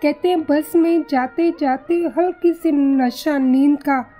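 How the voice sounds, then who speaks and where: A woman's voice: high-pitched, steady tone, slow pace, warm tone, faint music behind. A woman reminiscing as a guest in a conversational interview.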